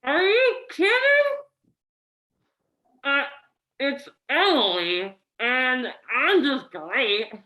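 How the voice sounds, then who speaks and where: A woman's voice: sits high, big pitch swings, extremely slow, long pauses, pushed and bright, full character voice. A woman playing a sarcastic, put-upon young hostage with a whiny delivery in a comic noir staged reading. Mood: sarcastic distress.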